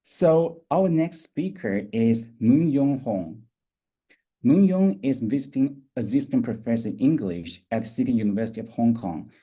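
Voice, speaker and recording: A man's voice: mid-range voice, animated, unhurried, mellow, slightly rough. A man reading formal academic introductions of speakers and their work as an editor at a roundtable.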